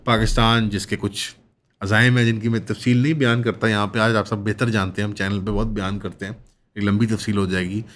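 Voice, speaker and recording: A man's voice: on the low side, level tone, a little fast, a bit bright. A man with a Pakistani accent giving political commentary as an analyst, in long winding sentences with English terms mixed in.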